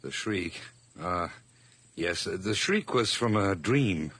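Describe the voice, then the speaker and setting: A man's voice: sits low, animated, slow pace, fairly bright tone, slightly rough, no echo, exaggerated voice. An actor playing a nervous, over-polite hired man hiding guilt, stammering and effusive in an old radio horror drama. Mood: evasive, polite.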